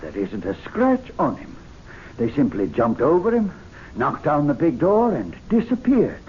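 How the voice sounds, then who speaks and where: A jittery club gentleman in an old radio play. The voice is high and leaps around in pitch, with stammered, repeated words. The recording is dull with faint hiss. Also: wildly swooping pitch, measured pace. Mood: shaken. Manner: stammering, agitated. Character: flustered, excitable gentlemen's club member, nervous and stammering. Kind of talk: old-time radio drama acting.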